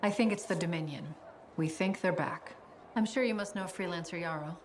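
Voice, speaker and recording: An actress playing a shrewd, secretive, middle-aged official in a video game, speaking in a measured, self-assured, formal way. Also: female voice, on the low side, a little hiss or hum, natural voice. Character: composed, shrewd, secretive female official offering contracts. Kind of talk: video game cutscene acting.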